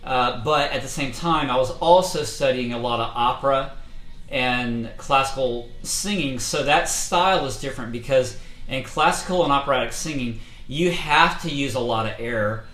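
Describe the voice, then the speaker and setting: A man teaching singing and breathing in a relaxed, conversational way, with many fillers, streamed live from indoors. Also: mid-range voice, normal speed, echoey room. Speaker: vocal coach. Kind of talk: casual instructional talk. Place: indoors, livestream setup.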